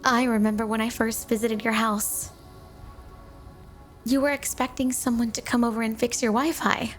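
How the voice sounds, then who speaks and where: A woman's voice: fairly high pitch, fairly even intonation, moderate pace, soft background music, natural voice. A woman playing a flirty, doting, obsessive snow woman wife in an intimate ASMR roleplay, teasing and coy. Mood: gushing, obsessive.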